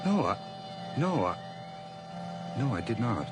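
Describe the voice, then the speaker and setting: An actor playing a nervous, guilt-ridden murderer in an old radio drama, halting, repetitive and intense. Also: male voice, medium pitch, big pitch swings. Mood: shaken, hushed. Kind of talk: old radio drama acting and first-person narration.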